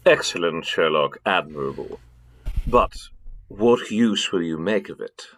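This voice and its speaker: A man acting a stately, indolent older Victorian gentleman in an audio drama, with a pompous, dry manner. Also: deep voice, animated, unhurried, exaggerated voice. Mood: approving, sceptical. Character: Mycroft Holmes, stately, indolent older brother of the detective.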